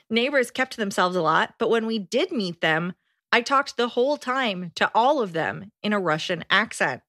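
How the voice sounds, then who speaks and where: A woman's voice: mid-range voice, expressive, normal speed, pushed and bright, no room sound, studio quality. A woman co-hosting a casual podcast, reading listener letters aloud with frequent laughter. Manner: giggly, playful, laughs often. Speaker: podcast co-host.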